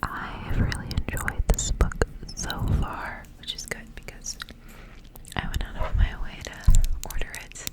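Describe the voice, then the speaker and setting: A young woman whispering breathily in a soft, informal ASMR style, chatting casually and sometimes slowly repeating words. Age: young adult. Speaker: ASMR creator. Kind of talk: ASMR intro and casual life update.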